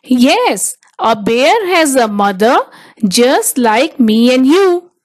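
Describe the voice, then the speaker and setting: Female storybook narrator for small children; very slow delivery, lively intonation. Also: sits high. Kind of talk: children's picture book read-aloud.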